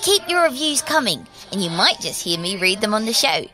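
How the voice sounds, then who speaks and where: A woman's voice: mid-range voice, sing-song, fairly quick, music in the background. A woman hosting a story podcast with a scripted introduction. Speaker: show host.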